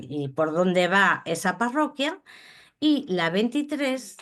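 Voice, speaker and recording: A woman giving an explanatory lecture, unhurried, pausing with fillers and checking in with her listeners. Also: mid-range voice. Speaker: speaker on commons law. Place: Galicia, Spain.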